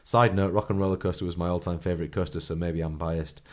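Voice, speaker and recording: A man's voice: low voice, flat delivery, fast. A man hosting a podcast with a blocked nose from a cold, reading a listener's trip report aloud and chuckling often. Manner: chuckles often, clears throat. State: congested, stuffy nose from a cold. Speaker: podcast host.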